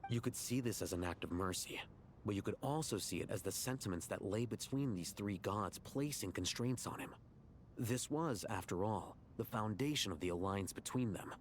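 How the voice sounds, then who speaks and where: An actor playing a composed, analytical scholar in a video game, speaking in a measured, reasoning way. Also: male voice, lowish voice, animated, a little hiss or hum, natural voice. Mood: thoughtful.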